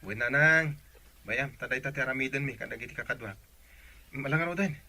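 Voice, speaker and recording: Young sailor son, earnest, super sharp bright voice, ilocano accent, radio drama. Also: male voice, mid-range voice, brisk. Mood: reassuring. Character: young adult seafaring son, earnest and reassuring.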